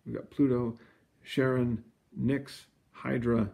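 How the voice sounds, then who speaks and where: A man reading a children's storybook aloud in an expressive, explanatory way, with casual asides. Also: mid-range voice, slow, vocal fry. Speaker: storybook reader.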